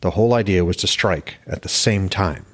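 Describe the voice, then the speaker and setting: A man's voice: low voice, a little fast, close to an everyday voice. A man voicing an incredulous, scheming male plotter with an insistent, challenging manner in a fantasy audiobook. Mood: indignant.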